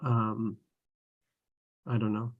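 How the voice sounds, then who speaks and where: Husky, creaky man chatting. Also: on the low side, little pitch movement, extremely slow, narrow, tinny audio. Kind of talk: casual group discussion.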